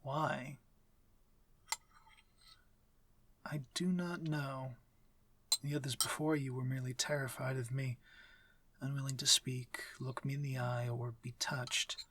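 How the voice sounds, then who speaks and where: A man playing a courteous, commanding vampire lord in an intimate ASMR roleplay, speaking formally in a measured, breathy, near-whispered voice. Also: medium pitch, fairly even intonation, a bit bright. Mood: curious, gentle. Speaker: audio roleplay voice actor.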